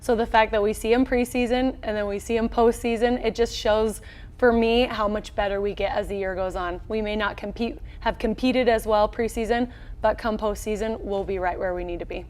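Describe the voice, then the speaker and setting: An American woman in her thirties with a medium-pitched voice. She speaks as a coach being interviewed, in an upbeat, colloquial and straightforward way. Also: steady tone, a little fast, darkish tone. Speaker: volleyball head coach, interview guest. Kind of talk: conversational sports interview. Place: small indoor locker-room set.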